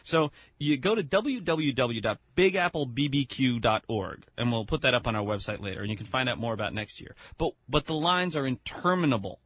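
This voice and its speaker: A man's voice: mid-range voice, animated, fairly quick, hardly any pauses, no room sound, muffled recording. A man co-hosting a radio show, chatting casually and enthusiastically, rambling with many restarts.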